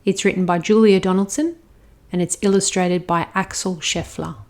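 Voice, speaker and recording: A woman reading a rhyming children's picture book aloud, expressive and even. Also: lowish voice. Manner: slow, expressive rhymed reading. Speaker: storyteller.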